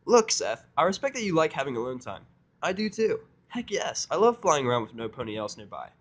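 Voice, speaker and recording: A man's voice: on the high side, big pitch swings, fairly quick, a bit bright, pitched above the performer's own voice. A man voicing a brash, loyal female pegasus pony, enthusiastic and earnest, in a fan fiction reading.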